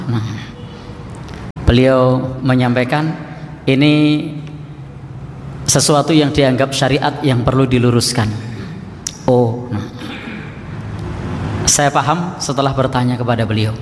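Man preaching in an echoey hall. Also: medium pitch. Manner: relaxed, joking with audience, pauses often. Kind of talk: Islamic lecture. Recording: echoey hall recording, faint hiss.

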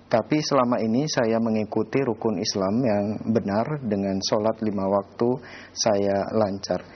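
A man hosting a religious program, politely and formally reading out listeners' questions. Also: on the low side. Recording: dull lo-fi audio with background noise.

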